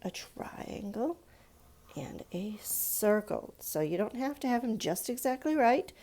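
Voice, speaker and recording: Gravelly-edged mellow woman teaching children. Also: fairly high pitch, big pitch swings, unhurried. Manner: simple, patient explaining with questions to young listeners. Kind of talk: informal step-by-step drawing lesson for children.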